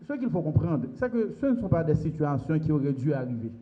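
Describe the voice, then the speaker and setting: A man commenting in a measured, deliberate way on the rule of law. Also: on the high side, sing-song, highs cut off.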